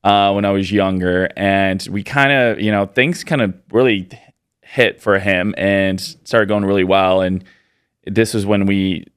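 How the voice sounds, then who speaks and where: Podcast guest: man in his thirties from Poland, very low and smooth voice, dry close sound, easygoing and rambling. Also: unhurried, no echo. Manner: relaxed, rambling, many fillers. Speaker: podcast guest, business owner. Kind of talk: casual interview answers.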